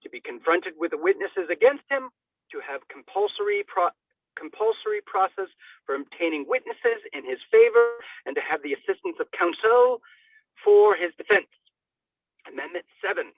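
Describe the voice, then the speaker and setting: Phone-like narrow audio, dry, male reader, high voice, theatrical declamation, formal document reading. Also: lively intonation, normal speed, no echo. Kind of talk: dramatic reading of a legal document. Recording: thin phone-like radio sound.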